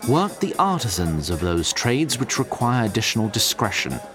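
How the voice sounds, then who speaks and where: Clear music plays under a man performing a haughty, otherworldly storyteller in a fantasy audio drama. The delivery is theatrical and wry, with very animated pitch that rises and falls widely. Every ornate phrase is set out deliberately, as if for lesser minds. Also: lightly acted. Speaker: narrator. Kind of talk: theatrical scripted fantasy narration.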